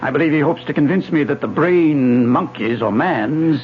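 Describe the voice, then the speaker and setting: An actor playing a smooth, condescending husband in an old radio drama, speaking in a knowing way. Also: male voice, fairly high pitch, expressive, moderate pace, a little hiss or hum, close to an everyday voice. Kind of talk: 1970s radio drama acting. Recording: dull lo-fi old radio broadcast.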